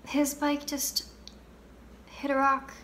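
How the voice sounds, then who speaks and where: A young woman playing a candid, grieving girl in a conversational, wistful dramatic monologue with pauses. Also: high-pitched, completely flat delivery, a bit bright, a little hiss or hum. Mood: matter-of-fact, wistful.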